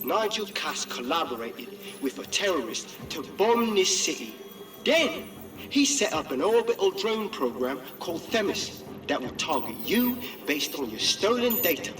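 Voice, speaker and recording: Echoey, high-pitched defiant activist. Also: male voice, sing-song, measured pace, a bit bright, exaggerated voice. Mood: urgent defiance. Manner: declamatory, emphatic. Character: defiant underground activist broadcasting to the city. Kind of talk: dramatic game cutscene address. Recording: echoey, distant broadcast with faint music.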